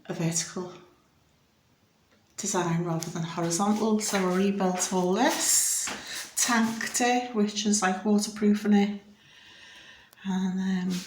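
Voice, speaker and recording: Liverpool woman in her fifties, noisy recording. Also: medium pitch. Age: 50s. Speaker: home renovation vlogger. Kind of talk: casual house tour chat. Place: inside a house.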